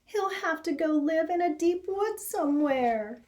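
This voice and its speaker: A woman's voice: very high voice, animated, measured pace, darkish tone, pitched above the performer's own voice. A woman voicing an anxious mother rabbit in a storybook, with a pleading, wailing manner. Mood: pleading, despairing.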